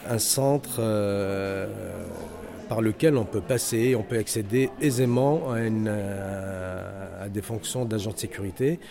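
A man with a North African accent, head of a security training center, giving an earnest, motivational interview full of hesitations and restarts. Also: on the low side. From Algeria. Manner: hesitant, many fillers and restarts. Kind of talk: interview testimony. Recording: noisy crowd around the speaker. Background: crowd chatter.

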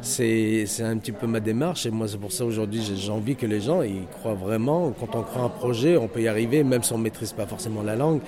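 A man's voice: on the low side, fairly quick, hardly any pauses. A man with a North African accent, head of a security training center, giving an earnest, motivational interview full of hesitations and restarts. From Algeria. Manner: hesitant, many fillers and restarts. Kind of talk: interview testimony. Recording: noisy crowd around the speaker. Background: crowd chatter.

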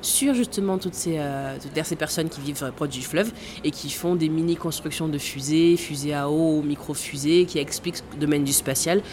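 A woman's voice: low voice, background noise. A woman in her thirties from the Caribbean who works as a tour guide, explaining with frequent fillers and occasional laughs. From born in Guadeloupe, raised in French Guiana. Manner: explanatory, hesitant with many fillers, laughs now and then. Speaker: tour guide at a space center. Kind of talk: interview answers.

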